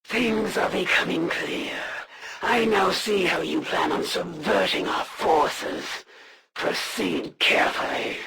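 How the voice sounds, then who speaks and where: An actress playing a proud, menacing alien assassin in a video game, speaking in a formal, haughty, threatening way. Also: female voice, lowish voice, slow pace, gravelly, a crowd in the background, full character voice. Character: proud alien assassin warrior, female, menacing, honor-bound, disdainful. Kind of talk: scripted video game villain voice acting.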